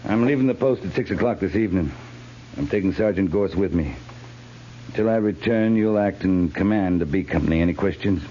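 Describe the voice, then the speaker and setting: Man playing a dark-voiced, stern cavalry captain. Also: deep voice, a little fast, natural voice. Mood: firm, terse. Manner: clipped, commanding, grave. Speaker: radio drama actor. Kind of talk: 1950s radio western acting. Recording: muffled, noisy 1950s radio recording.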